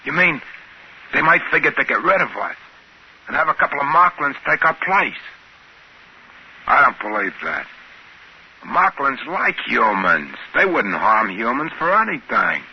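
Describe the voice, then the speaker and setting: An actor playing a plain, folksy trading-post worker who also narrates a 1950s science fiction radio drama. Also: male voice, mid-range voice, sing-song, measured pace, long pauses, husky, exaggerated voice. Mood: puzzled, doubtful. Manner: folksy, colloquial. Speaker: narrator and character. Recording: muffled old radio broadcast with music.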